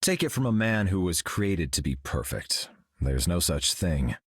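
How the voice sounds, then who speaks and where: A solemn golden cosmic hero for a video game, his speech calm and grave. The voice sits very low with a husky grain and a lively, rolling pitch. It is recorded close and dry. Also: measured pace, dry recording, full character voice. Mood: serene.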